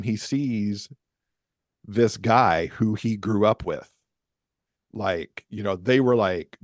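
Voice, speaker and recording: Low-voiced American man chatting, dry close sound. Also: no echo. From Michigan, USA. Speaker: podcast guest, romance author. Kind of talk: casual conversational interview.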